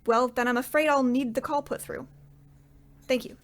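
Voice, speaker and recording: A polite, put-upon widow acted by a woman in a comic radio play. Her voice is high, and she speaks earnestly and straight-faced, with exasperation under the good manners. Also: steady tone, moderate pace, lightly acted. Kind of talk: old-time radio comedy acting.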